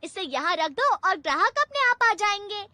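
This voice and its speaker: Cartoon robot cat: cheerful helper, squeaky childlike tone, very high, dry sound. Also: female voice, sing-song, normal speed, pushed and bright, no room sound, full character voice. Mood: confident. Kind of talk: Hindi cartoon dub.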